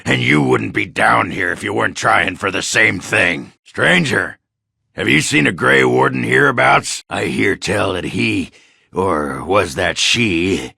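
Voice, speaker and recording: An actor voicing a gruff, hard-drinking, sardonic dwarf warrior in a video game, blunt and crude, with a rough, gravelly voice. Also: male voice, lowish voice, expressive, a little slow, pushed and bright, no echo, clean studio sound, full character voice. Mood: earnest, insistent. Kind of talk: video game character dialogue.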